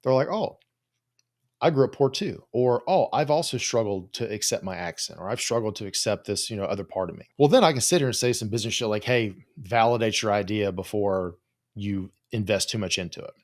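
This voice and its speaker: A man's voice: fairly low pitch, lively intonation, fairly quick. A man giving informal solo advice as a coach, talking in a blunt, no-nonsense way with plenty of casual swearing. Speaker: host, psychologist and business coach for creators.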